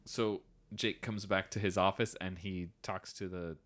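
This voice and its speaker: A man co-hosting a fan podcast in casual chat. He is chatty and walks through scenes, quoting lines as he goes. His voice sits a little low. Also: steady tone, a little slow, fairly bright tone. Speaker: podcast co-host.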